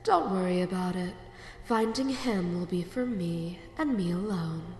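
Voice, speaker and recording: Ruthless sci-fi villainess played by a woman, cold and commanding, deliberately slow, long reverb. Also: lowish voice, darkish tone. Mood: coolly reassuring. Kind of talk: amateur sci-fi drama acting. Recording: echoey hall, faint noise.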